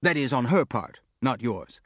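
A man's voice: mid-range voice, big pitch swings, a bit bright, no echo, muffled recording. A man voicing a flustered middle-aged general in an audiobook, a bewildered, fretting father who halts and repeats himself. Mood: perplexed. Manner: stammering, repetitive, halting.

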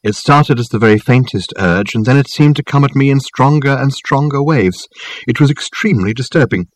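A man voicing an eccentric, amiable elderly academic in an audiobook, rambling and halting with many hesitant ums. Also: medium pitch, no room sound, close to an everyday voice. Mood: earnest, rambling. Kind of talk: audiobook character acting.

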